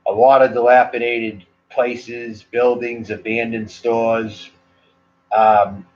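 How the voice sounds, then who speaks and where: A man with a Maine accent rambles casually to his livestream viewers, drifting from thought to thought with plenty of "like" and "you know." His voice sits at an ordinary middle pitch. The audio is dull and muffled, like a cheap stream mic. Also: flat delivery, slow pace, a little mellow. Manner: meandering, loose, full of "like" and "you know". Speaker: cigar reviewer and livestream host.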